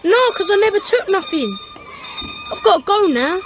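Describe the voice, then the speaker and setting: A woman's voice: very high-pitched, very animated, normal speed, over music. A woman playing a defiant, cheeky working-class English schoolboy in a radio drama, answering in curt, sullen evasions.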